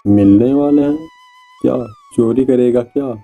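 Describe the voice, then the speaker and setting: A man's voice: medium pitch, a little slow, warm tone, soft background music, lightly acted. A man voicing a greedy, mocking, scheming villager in a cartoon moral story, with a taunting, exclamatory delivery. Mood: teasing.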